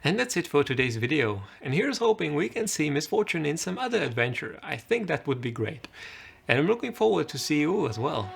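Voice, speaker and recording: A man's voice: fairly high pitch, big pitch swings, brisk, creaky. A man narrating a scripted game review as its host.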